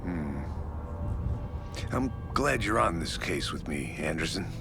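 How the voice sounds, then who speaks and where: An actor playing a low-key male federal agent in a video game, dry, terse and understated. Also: big pitch swings, takes it slowly, gravelly, music in the background, exaggerated voice. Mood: dry, supportive. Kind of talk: video game cutscene acting.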